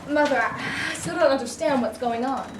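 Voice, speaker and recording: A woman's voice: high-pitched, moderate pace, noisy background, lightly acted. A woman reading the part of an earnest young woman in a staged play reading, questioning and direct. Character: young woman Denisha, bewildered then defiant. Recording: echoey room, distant mic.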